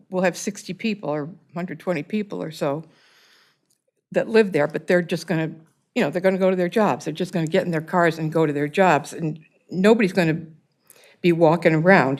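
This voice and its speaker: A loud-voiced woman council member arguing her point in a council chamber, halting often and restarting her sentences. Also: lowish voice. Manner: halting, many restarts and fillers, earnest. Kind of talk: council debate.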